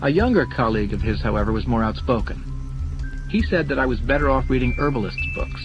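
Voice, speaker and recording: A man's voice: medium pitch, animated, fast. A man reading scripted first-person narration in the style of a dramatized audiobook. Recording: dull lo-fi audio under music. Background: music.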